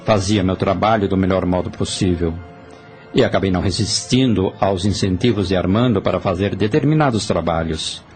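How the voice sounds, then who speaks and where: A remorseful spirit played by a man confesses earnestly in an audio drama. His voice is low and weary, with a Brazilian accent, and music sits behind it. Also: normal speed, darkish tone, close to an everyday voice. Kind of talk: Portuguese-language audio drama acting.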